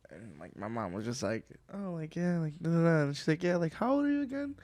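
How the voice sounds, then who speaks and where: Young male co-host, upbeat storyteller, quick self-deprecating humor, dry studio sound. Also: a little high, very animated, a little mellow, no room sound. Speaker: podcast co-host. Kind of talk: casual chat.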